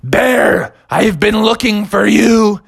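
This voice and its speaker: A storyteller voicing a brisk, no-nonsense male zookeeper in a children's story. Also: mid-range voice, gravelly, breathy edge, dry recording, studio quality, voice pushed up, heavily acted. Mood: stern.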